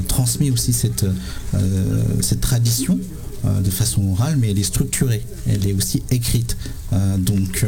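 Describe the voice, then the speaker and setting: A man in his thirties answering interview questions spontaneously, haltingly and searching for words. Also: lowish voice, vocal fry, dark tone. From Sri Lanka. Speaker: interviewed musician and music teacher.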